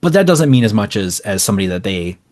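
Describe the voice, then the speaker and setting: A man's voice: on the low side, very animated, quick pace, darkish tone. A man talking as a publisher guest in a casual interview, conversational and anecdotal.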